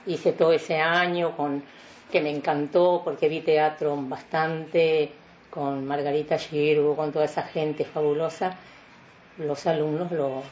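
An older woman with a Uruguayan accent, a veteran announcer reminiscing in a radio interview in a halting, rambling way. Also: sits low, a little mellow, muffled recording, slight background noise.

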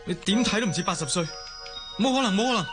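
Earnest young grandson, high male voice. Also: expressive, quick pace, over music. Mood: incredulous. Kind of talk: radio drama acting.

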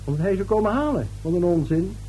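A middle-aged family man in a radio drama, brusque and domineering. His voice is mellow and swings widely in pitch as he scoffs and waves things away. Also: lively intonation, fast talker, soft background music, low-quality recording. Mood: dismissive. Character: domineering, dismissive middle-aged father and husband. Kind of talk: radio play acting.

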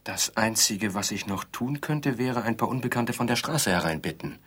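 Husky, placid, defensive bridegroom. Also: male voice, on the low side, animated, normal speed, a bit bright. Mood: mild, self-assured. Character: placid, defensive bridegroom, absent-mindedly insisting on their happiness. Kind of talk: absurdist radio play dialogue.

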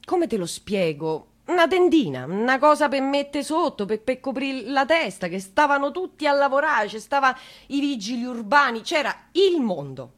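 An actress playing a working-class woman in a theatrical radio monologue, haunted by memory, halting and repeating herself as she searches for words. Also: high voice, very animated, a bit bright. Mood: agitated, insistent. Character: working-class Italian woman haunted by remembering a national tragedy. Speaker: stage actress performing a monologue.

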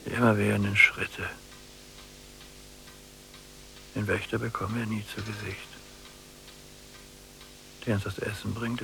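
An actor playing a weary, embittered old blind prisoner, brooding slowly in a radio drama, creaky and at times breathy. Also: male voice, lowish voice, pushed and bright, a little hiss or hum, low-quality recording. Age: older. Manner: slow, brooding inner monologue. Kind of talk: literary radio drama acting.

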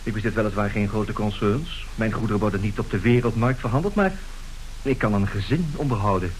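A man's voice: fairly low pitch, a little fast, slight background noise, close to an everyday voice. An actor playing a glib, brazen swindler and seducer of women in a radio play, theatrical in his bargaining and pleading. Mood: cool, brazen. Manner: theatrical, swinging from cool bargaining to florid pleading. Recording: roomy radio drama recording.

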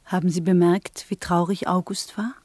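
An actress playing an earnest, compassionate variety performer in a German radio drama, with a soft manner. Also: female voice, on the low side, little pitch movement, moderate pace, no echo, natural voice. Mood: sad, tender.

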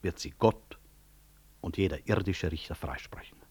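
A deep-voiced, dignified medical professor. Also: male voice, darkish tone, breathy edge, exaggerated voice. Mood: firm, controlled. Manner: formal, measured, precise. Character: dignified male medical professor, courteous but unyielding, gently ironic. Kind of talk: 1960s German radio drama acting.

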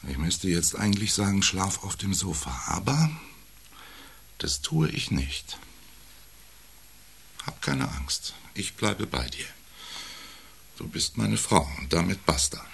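An actor in a German radio play playing a gruff, domineering, possessive husband. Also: male voice, sits low, lively intonation, slow pace, pauses often, heavily acted. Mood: low, possessive. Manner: gruff, bossy. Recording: loud background noise.

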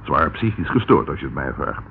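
A man's voice: very deep voice, very animated, fairly quick, creaky, exaggerated voice. An actor playing a wry, matter-of-fact male police detective reporting in a radio drama. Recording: tinny, noisy old radio recording.

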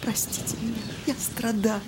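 An actress playing an effusive, sentimental society lady in a Russian audio drama, with a halting, melodramatic delivery. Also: on the high side, level tone, heavy background noise, lightly acted. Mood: exalted, suffering.

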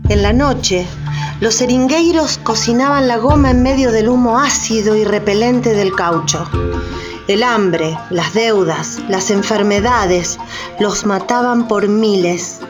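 A woman's voice: medium pitch, slow pace, music in the background. A woman narrating and announcing scripted radio theatre.

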